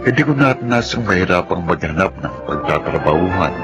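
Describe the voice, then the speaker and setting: A man's voice: low-pitched, animated, fairly quick, loud music behind the voice. A man playing a burdened young breadwinner narrating his life in a Filipino radio drama, with a Filipino accent. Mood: weary, resigned.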